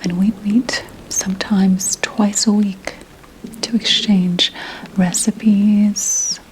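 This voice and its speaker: A woman playing a proud, secretive 17th-century witch healer, whispering slowly and formally in an ASMR roleplay. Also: mid-range voice, slight background noise, natural voice, low-quality recording. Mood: confiding. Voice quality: breathy unvoiced whisper. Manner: deliberate, hushed, archly formal phrasing.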